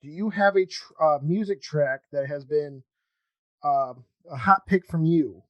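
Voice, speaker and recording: A man hosting a casual interview podcast, talking in a halting, rambling, informal way. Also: fairly high pitch, no room sound, highs cut off. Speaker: podcast host.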